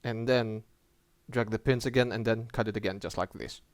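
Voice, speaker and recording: A man presenting a casual app tutorial as a voice-over, with halting, informal and hesitant phrasing. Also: lowish voice, steady tone, no room sound.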